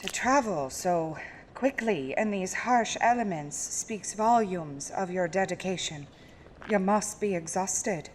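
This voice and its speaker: A woman playing a calm, devout convent nun in a horror audio drama, speaking in a measured, formal way with grave insistence and dry wit. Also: mid-range voice, slight background noise. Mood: courteous.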